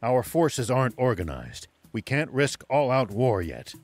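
An actor playing a grave, level-headed old soldier in a video game, speaking in a measured, serious way. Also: male voice, medium pitch, very animated, dry recording. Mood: resolute.

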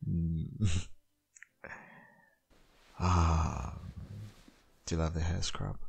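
A man playing a tender, possessive dominant boyfriend in an intimate ASMR roleplay, murmuring in a gravelly, breathy, half-whispered voice. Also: a bass voice, full character voice. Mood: doting, firm. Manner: slow, murmuring, lingering.

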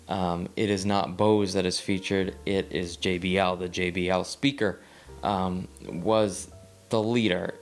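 A young man seated in a small living room, reviewing audio gear in a casual talking-head video, rambling with frequent ums and repeated words while gesturing with his hands. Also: on the low side.

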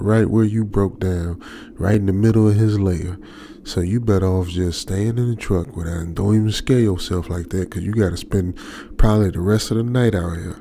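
Casting: male actor as young trucker stranded at night, jittery self-talk for radio drama. Lowish, gravelly voice, halting and colloquial. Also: dark tone, a little hiss or hum. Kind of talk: ASMR old-school radio drama monologue.

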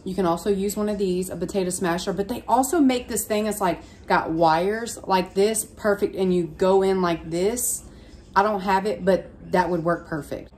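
A woman's voice: fairly low pitch, darkish tone. A woman, chatting informally and folksily through a home cook-along. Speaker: home cooking vlogger. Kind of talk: casual cook-along narration. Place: home kitchen, indoors. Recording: clear sizzling and kitchen noise. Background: frying sizzle, kitchen noise.

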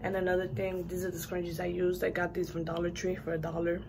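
Young woman w a raspy just-woke-up voice, lowish, rambly hair review on her phone, some hiss in the background. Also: monotone, fast talker. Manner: chatty, rambling with fillers. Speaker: beauty vlogger. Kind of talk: casual hair product review. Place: small bathroom, indoors. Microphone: phone camera at arm's length.

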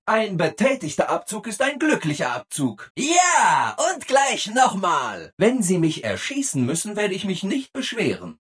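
An actor playing a relentlessly cheerful, servile robot assistant in a video game, gushing and eager to please. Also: male voice, on the high side, breathy edge, exaggerated voice. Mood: gushing, excited. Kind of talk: video game character acting in a German dub.

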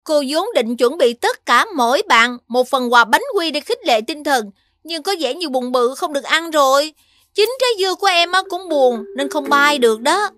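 A woman voicing a kindly schoolteacher in a children's cartoon, gently instructing young pupils. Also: high voice, lively intonation, moderate pace, no echo. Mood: gently instructive.

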